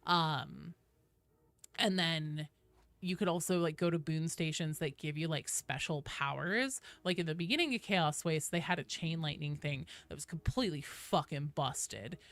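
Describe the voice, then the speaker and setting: A woman's voice: sits low, a little slow, fairly bright tone, dry recording. A woman hosting a casual game livestream, chatting informally and explaining things at length as she talks directly to viewers. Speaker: video game streamer, host.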